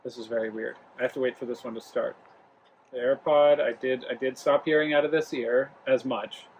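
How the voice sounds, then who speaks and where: A young man hosts a casual review vlog from home and rambles through his thoughts with plenty of ums and restarts. His voice sits at a middle pitch, with a light hiss of room noise behind it. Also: fairly even intonation, a little fast, poor audio. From Rhode Island. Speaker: travel vlog host. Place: home, living room near the kitchen.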